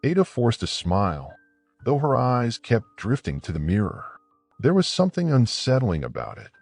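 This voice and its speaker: A man's voice: medium pitch, sing-song, normal speed, gravelly, no echo, soft background music. A man narrating a scripted folktale as a dramatic storyteller.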